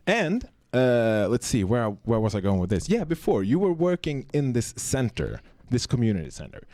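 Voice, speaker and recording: Lively playful man from Sweden. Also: medium pitch, moderate pace, no echo. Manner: playful, laughs often, swears casually. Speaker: podcast host. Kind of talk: casual chat.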